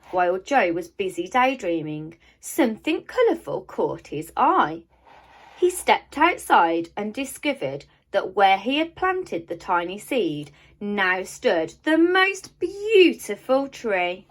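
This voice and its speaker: A woman reading a children's picture book aloud like a primary teacher, with expressive storytelling, deliberate pauses and emphasised key words. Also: fairly high pitch.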